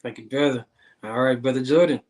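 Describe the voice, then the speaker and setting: A man, hosting an online talk show in a casual, halting way with many repeated words and fillers. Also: mid-range voice, steady tone, darkish tone. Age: 30s. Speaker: guest host.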